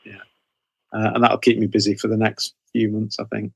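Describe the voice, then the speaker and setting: Middle-aged man, creaky voice, chatting informally. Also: lowish voice, monotone, normal speed, dry recording. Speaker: guest author interviewed about writing career. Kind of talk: casual interview chat.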